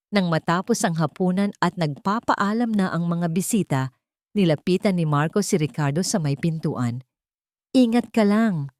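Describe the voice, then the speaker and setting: A woman's voice: sits low, a little mellow, no echo, studio quality. A woman reading a scripted romance story as a dramatic narrator.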